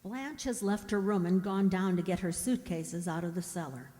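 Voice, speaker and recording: An actress playing a fearful mute young woman whose inner thoughts are heard in a hushed, halting monologue, in old radio thriller style. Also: lowish voice, lightly acted. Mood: uneasy, brooding. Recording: reverberant radio play with music behind.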